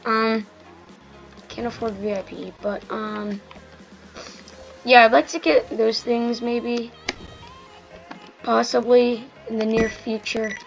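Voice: medium pitch, fairly even intonation, slow pace, gravelly, long pauses, highs cut off. An eleven-year-old child giving casual, rambling gameplay commentary in a slightly strained, slangy voice. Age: child, almost twelve. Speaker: gaming video host. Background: background music.

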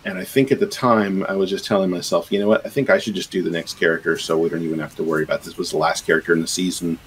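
A man's voice: deep voice, steady tone, racing, slight background noise, rough recording. A middle-aged man, a game composer and sound designer, talking casually on a video call with many fillers and restarts. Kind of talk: casual podcast interview.

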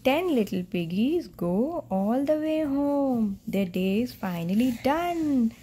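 Indian female, mother reading to a little one. Soft-toned, expressive melody, instructive questions, recorded at home. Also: fairly high pitch, slow, husky. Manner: simple, instructive, prompting questions. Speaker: mother reading to a small child. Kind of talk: picture-book read-aloud with questions to a child. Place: at home, indoors on a bed.

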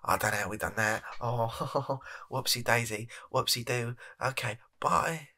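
A man acts out a shifty British chief executive in a comedy sketch. He is flustered and halting, with a husky, gravelly voice that has a forceful edge. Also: medium pitch, voice pushed up. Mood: bumbling, evasive. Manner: bumbling, evasive, halting, put-upon. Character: flustered, shifty male energy company CEO recording an address. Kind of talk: improvised softly spoken comedy sketch.

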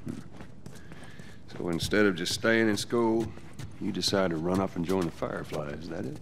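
A gravelly, cynical smuggler. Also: male voice, deep voice, normal speed, a little hiss or hum. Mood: curt. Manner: terse, dry, sarcastic. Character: gruff middle-aged male smuggler, cynical and blunt.

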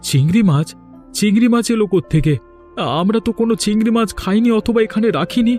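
A man's voice: sits high, fast talker, mellow, lightly acted. A man voicing a worried, humble village husband in a cartoon, earnest and questioning, with music underneath. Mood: worried, puzzled. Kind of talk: Bengali cartoon dubbing.

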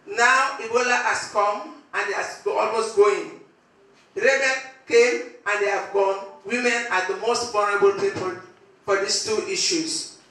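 A woman's voice: fairly high pitch, pushed and bright. An older woman with a Sierra Leonean accent giving an impassioned public address as a community leader. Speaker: women farmers' leader. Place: conference hall indoors. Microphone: handheld microphone. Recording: very echoey hall, distant.

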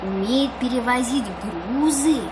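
Woman, fairly high voice, unhurried pace, scripted children's teaching narration, simple deliberate phrasing. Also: very noisy. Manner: simple, deliberate single words and short phrases. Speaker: narrator of an educational children's cartoon.

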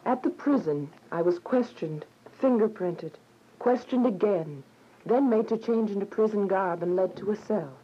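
A woman's voice: medium pitch, normal speed, slight background noise, natural voice. Actress as devout young fugitive woman, muffled recording. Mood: grateful, reflective. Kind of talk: first-person radio drama narration. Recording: dull lo-fi radio drama, music behind.

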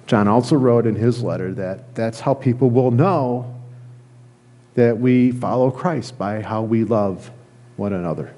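Mellow middle-aged male preacher. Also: medium pitch, a little slow. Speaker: pastor. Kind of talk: conversational sermon. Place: church sanctuary, at a lectern indoors.

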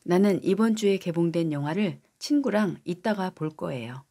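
Fast-talking female teacher, lower-pitched. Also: expressive, warm tone. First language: Korean. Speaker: English teacher. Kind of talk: language drill, reading example sentences to repeat.

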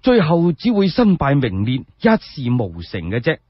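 A man's voice: on the high side, huge pitch swings, moderate pace, breathy edge, no room sound, heavily acted. A man voicing a resolute noblewoman admonishing her husband in Cantonese, in a radio story. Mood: stern, urging. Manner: firm, admonishing. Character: resolute noblewoman wife urging her exiled husband onward. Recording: dull lo-fi sound.

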